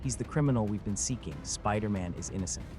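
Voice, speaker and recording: Man playing low-voiced, urgent police headquarters character. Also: lively intonation, fast, close to an everyday voice. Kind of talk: comic dub acting. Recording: music behind the voice.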